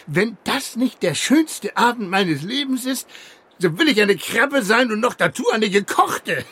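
Radio drama: effusive old-fashioned seaman, raised husky voice, hearty and humble. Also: sits high, sing-song, a little slow, fairly bright tone, heavily acted. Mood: jubilant. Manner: exuberant, tumbling, broken phrases.

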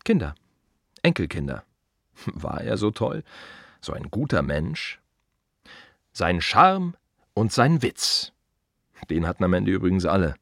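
Casting: a brooding, sardonic gravedigger, low voice with lively swings, clipped musing delivery, very dry close radio-play sound. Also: male voice, no room sound, exaggerated voice. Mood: mocking, sceptical. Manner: wry, clipped fragments with pointed repetitions. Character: wry, brooding male gravedigger musing on the dead. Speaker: actor in a radio play monologue. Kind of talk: radio drama inner monologue.

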